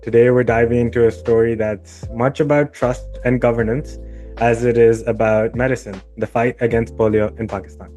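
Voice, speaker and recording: A man's voice: mid-range voice, fairly even intonation, moderate pace, dark tone, faint music behind. A man hosting a podcast, introducing the subject and putting questions in a conversational way. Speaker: podcast host.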